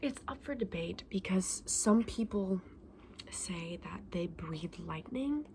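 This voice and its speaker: Casting: woman performing as a chatty, gentle shop saleswoman for ASMR roleplay; hushed, often whispered delivery, gravelly fry, faint noise behind. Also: on the low side, lively intonation. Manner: gentle, unhurried, informal explaining. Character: gentle, chatty woman selling dragon eggs. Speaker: ASMR roleplayer playing a shopkeeper.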